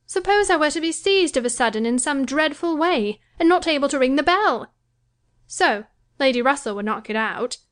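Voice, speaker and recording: High-voiced young wife, self-pitying and self-important, forever complaining, period novel dramatisation female actor performing. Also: lively intonation, moderate pace, fairly bright tone. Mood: querulous, self-pitying. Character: self-pitying, querulous young married gentlewoman, fancying herself ill. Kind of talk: dramatised classic novel reading.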